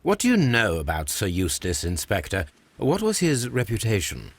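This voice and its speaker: An actor playing a composed, analytical Victorian English gentleman detective in a video game, musing precisely and deliberately. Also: lowish voice, wildly swooping pitch, no room sound, full character voice. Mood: inquisitive.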